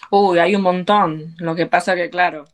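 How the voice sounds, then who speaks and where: A woman's voice: on the low side, fairly even intonation, moderate pace. An Argentine woman, a belly dance teacher, talking earnestly and encouragingly in an interview, with frequent fillers. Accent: Argentine Spanish. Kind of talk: conversational interview.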